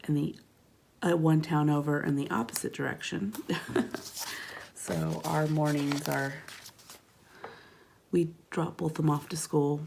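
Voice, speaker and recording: A woman in her late thirties talks through her planner session in a low, gravelly voice. She rambles casually, sometimes dropping to a soft murmur as she works, with a faint hiss behind her. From California. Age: 30s. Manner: chatty, rambling, murmurs softly while placing stickers. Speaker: planner vlogger. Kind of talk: casual plan-with-me narration. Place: at home, tabletop.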